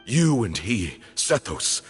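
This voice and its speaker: A man voicing a stern, aging temple leader in a video game, speaking in a grave, formal and deliberate manner. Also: fairly low pitch, very animated, slightly rough, heavily acted. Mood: grave, wistful. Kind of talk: dramatic video game monologue. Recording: music behind the voice.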